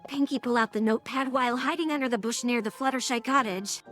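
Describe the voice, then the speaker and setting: A woman's voice: on the high side, quick pace, a bit bright. A synthetic-sounding woman's voice reading scripted story narration.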